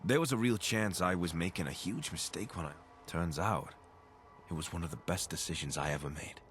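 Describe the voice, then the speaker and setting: An actor playing a wry, wounded wasteland companion in a video game, speaking in a casual, hesitant way. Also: male voice, deep voice, fairly quick, a bit bright, slight background noise. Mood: sincere.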